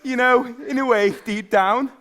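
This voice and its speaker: A man playing a pompous, fussy, excitable king in broad pantomime comedy, with a posh, dithering manner. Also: very high voice, measured pace. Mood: cheery, excitable.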